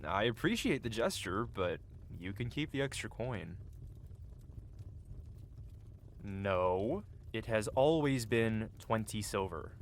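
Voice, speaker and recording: A man's voice: fairly low pitch, lively intonation, unhurried, a little hiss or hum. A man playing a cocky, flirty yet tender-hearted medieval blacksmith in an intimate, theatrical ASMR fantasy roleplay. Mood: firm, playful. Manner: teasing, theatrical, with soft hesitations. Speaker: audio roleplay performer. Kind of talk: ASMR roleplay acting, intimate one-sided dialogue.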